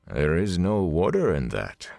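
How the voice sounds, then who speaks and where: Man voicing a grandfatherly old lord captain, deep, measured. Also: wildly swooping pitch, creaky, dry recording, studio quality, exaggerated voice. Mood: probing. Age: older. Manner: measured, formal, gently admonishing. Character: grandfatherly gray-haired male lord captain, calm and sadly stern.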